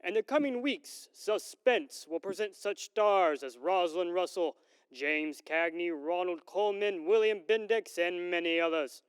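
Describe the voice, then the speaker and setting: Man as 1940s radio announcer, crisp clear tone, unhurried, formal, dramatic, long reverb. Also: sits high. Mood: grand, formal. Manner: measured, theatrical, grand.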